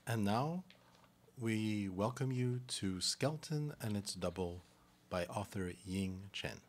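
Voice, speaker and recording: A man presenting and narrating a live radio play in a halting, deliberate way. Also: on the low side, slight background noise. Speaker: presenter and stage-directions reader.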